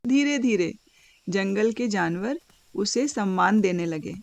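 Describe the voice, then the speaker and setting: A woman narrating a scripted moral story for a cartoon, with dramatic, deliberate phrasing and pauses. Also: mid-range voice, lively intonation, gravelly, no room sound.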